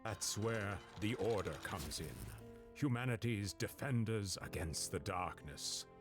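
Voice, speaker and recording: An actor playing a commanding master of monster hunters in a video game. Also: male voice, lowish voice, animated, unhurried. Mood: grave, explanatory. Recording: music behind the voice.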